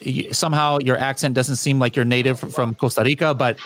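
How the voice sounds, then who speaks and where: A man's voice: medium pitch, steady tone, brisk, fairly bright tone, a little hiss or hum. A man hosting a podcast in casual interview chat, rambling with many fillers and restarts. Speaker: podcast host.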